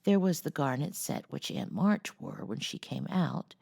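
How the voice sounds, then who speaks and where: Low, very creaky woman storyteller. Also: very animated, darkish tone. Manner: measured, cozy read-aloud delivery. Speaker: storyteller, host of a read-aloud series. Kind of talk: expressive storybook narration of a classic novel.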